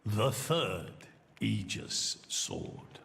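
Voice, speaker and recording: An actor playing a solemn, regal monarch in a video game, speaking formally and gravely with weighty pauses. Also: male voice, lowish voice, sing-song, heavily acted. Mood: solemn, measured. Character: solemn, regal male monarch burdened by his judgment. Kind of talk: dramatic video game cutscene acting. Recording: loud music behind the voice.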